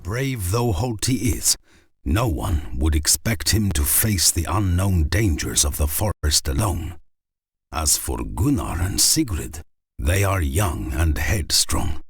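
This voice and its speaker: Game storyteller: measured, wry saga narration, husky and unhurried yet expressive. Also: male voice, deep voice, exaggerated voice.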